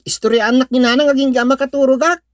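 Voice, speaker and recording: A woman's voice: high voice, fairly even intonation, fast, dry recording, studio quality, natural voice. A woman with an Ilocano accent playing an eager, talkative young child in a radio-style drama. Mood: eager, innocent.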